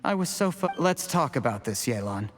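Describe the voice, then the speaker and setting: Scheming young man voiced by an actor, animated delivery. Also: mid-range voice, moderate pace, soft background music, close to an everyday voice. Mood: desperate, pleading. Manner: earnest, defensive, dramatic. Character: scheming, ambitious young man pleading his case. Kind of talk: scripted video game voice acting.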